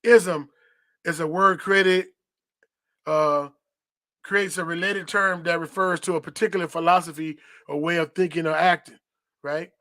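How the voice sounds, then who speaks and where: A man's voice: fairly high pitch, fairly even intonation, unhurried, pushed and bright, no room sound. A man hosting a religious podcast, teaching in a preachy, repetitive way, tagging points with "right?" and reading definitions aloud. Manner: reads dictionary definitions aloud. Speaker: podcast host.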